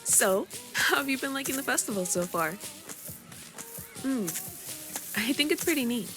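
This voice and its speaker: A woman voicing a friendly, busy young guild master who welcomes a new hire in a warm, slightly bossy way. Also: high voice, expressive, moderate pace. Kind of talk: scripted voice drama. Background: faint background music.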